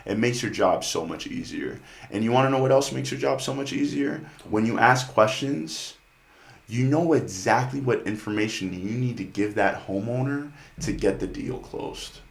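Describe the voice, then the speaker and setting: A man with a deep voice, a podcast guest talking sales in an intense, driving way. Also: expressive, moderate pace, vocal fry, roomy sound. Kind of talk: casual sales talk.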